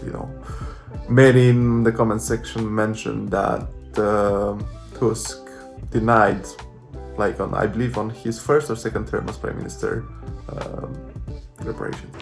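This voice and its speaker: A man's voice: lowish voice, creaky. A man in his late twenties speaking casually to camera, rambling and repeating words as he searches for them. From Romania. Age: late 20s. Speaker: YouTuber. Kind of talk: casual direct-to-camera vlog. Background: background music.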